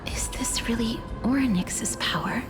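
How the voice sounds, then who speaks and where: A woman as a soft, breathy, solemn young heroine. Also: fairly high pitch, natural voice. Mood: soft, pensive. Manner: soft, measured, formal and polite. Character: gentle, solemn young woman with powers over death. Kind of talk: scripted video game voice acting. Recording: game music behind the voice.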